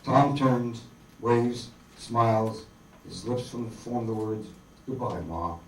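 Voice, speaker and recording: A man's voice: mid-range voice, flat delivery, slow. A man giving scripted dramatic narration as the narrator of a staged play. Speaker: narrator and performer. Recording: echoey room, distant mic, faint noise.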